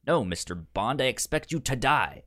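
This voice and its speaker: A man co-hosting a movie podcast in casual chat, halting and often repeating words and sounds as he goes. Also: mid-range voice, expressive, pushed and bright, no echo, studio quality.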